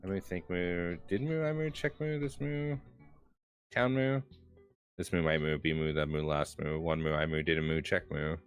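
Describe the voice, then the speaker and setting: A man's voice: low voice, moderate pace, clear, resonant tone, soft background music, close to an everyday voice. A man voicing a fuzzy Muppet-like creature that adds a silly syllable after every word. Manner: tacks a silly syllable onto each word.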